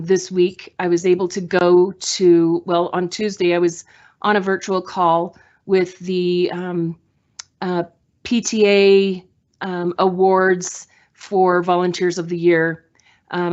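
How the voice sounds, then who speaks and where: Halting woman speaking over a dull remote link. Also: on the low side. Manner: halting, with frequent fillers and pauses. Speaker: school board member. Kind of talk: prepared remarks at a board meeting. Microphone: video call.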